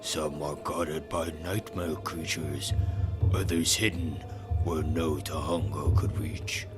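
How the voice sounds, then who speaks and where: A man playing an earnest, humble little villager in an animated fantasy, speaking with reverence. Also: very deep voice, takes it slowly. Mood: reverent. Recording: music behind the voice.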